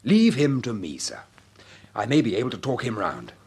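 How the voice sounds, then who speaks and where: Breathy, animated English young dandy. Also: male voice, mid-range voice, normal speed, heavily acted. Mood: obliging. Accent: upper-class English. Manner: hesitant, polite, eager. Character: genial, deferential young English dandy gentleman. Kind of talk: period radio drama acting.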